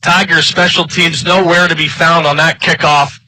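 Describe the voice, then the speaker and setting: An American man giving color commentary on live football. His voice is very bright and piercing, a bit higher than most men's, with a tight, dry sound. He analyzes plays in a sportscasting style. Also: little pitch movement, normal speed, no echo, poor audio.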